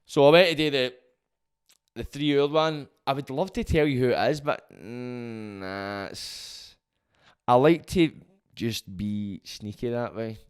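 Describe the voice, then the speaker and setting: A Glaswegian man hosting his own podcast, rambling and swearing freely in a loud, booming voice. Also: measured pace, clean tone. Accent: Scottish, Glaswegian. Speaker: podcast host. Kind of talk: casual monologue.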